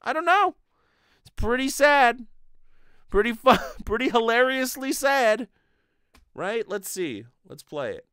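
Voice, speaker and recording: Male comedy host, incredulous ranter, very lively intonation, dry close-miked home recording. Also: extremely high pitch, slow pace, long pauses, clear, resonant tone, no room sound. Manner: incredulous, ranting. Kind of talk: casual comedic commentary with swearing. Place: small home bedroom. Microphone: desk microphone with pop filter, close.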